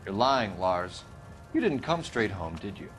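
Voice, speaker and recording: An American actor playing a stern, driven homicide detective in a video game, questioning in a clipped, formal, probing way. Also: male voice, lowish voice, sing-song, a little slow, fairly bright tone, soft background music. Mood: accusing, hard. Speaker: police detective. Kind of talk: video game dramatic acting, interrogation.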